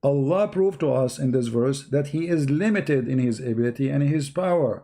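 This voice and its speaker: A man's voice: medium pitch, moderate pace, warm tone. A man hosting a live religious call-in debate, pressing his points with insistent, repeated questions in non-native English.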